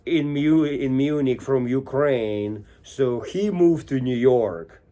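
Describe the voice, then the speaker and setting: Middle-aged man, Russian accent, medium pitch, casual storyteller, informal interview guest. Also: slow pace, dark tone. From Moscow, Russia. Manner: informal, tells anecdotes with quoted dialogue.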